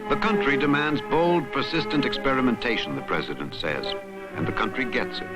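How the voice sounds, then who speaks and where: A man's voice: medium pitch, expressive, fairly quick. An American man narrating a vintage documentary in a dramatic, emphatic newsreel style with scripted lines. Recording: vintage documentary soundtrack with loud music. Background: loud music.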